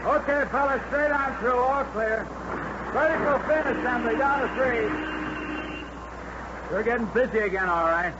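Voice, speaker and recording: A chatty, obliging American plant foreman with a high voice. He rambles in a folksy working man's manner, in old radio drama style. The recording is muffled, with clear factory noise behind him. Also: normal speed, long pauses. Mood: busy. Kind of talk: 1950s radio drama acting.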